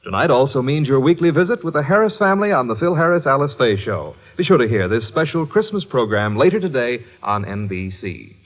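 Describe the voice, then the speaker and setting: A man announcing credits and program notes in a crisp, polished 1950s radio style. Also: medium pitch, sing-song, a little fast, few pauses. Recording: dull lo-fi 1950s radio broadcast with music.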